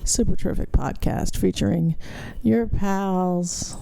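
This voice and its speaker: A woman's voice: medium pitch, slow, vocal fry, warm tone. A middle-aged woman co-hosting a casual podcast, dry and exasperated as she corrects her partner. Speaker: podcast co-host.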